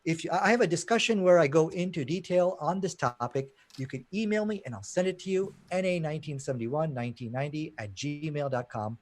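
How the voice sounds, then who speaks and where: A man's voice: on the high side, fairly quick, hardly any pauses. A man from Pakistan speaking as a debater, arguing in an emphatic, challenging way. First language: not Arabic; Arabic learned as second language. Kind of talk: impassioned debate argument.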